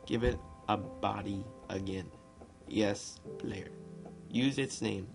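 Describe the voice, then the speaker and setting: A man's voice: fairly low pitch, slow pace, gravelly. Casual male gamer narrating over music. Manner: informal, loosely reads text with stumbles and ad-libs. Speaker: gaming video narrator. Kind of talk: casual game commentary and amateur read-aloud narration. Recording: music playing behind the voice. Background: guitar and piano music.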